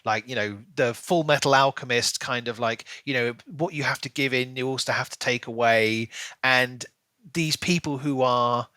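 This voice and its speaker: A man's voice: mid-range voice, normal speed, sharp tone, no room sound. A man co-hosting a book podcast, talking casually and enthusiastically and musing aloud in rhetorical questions.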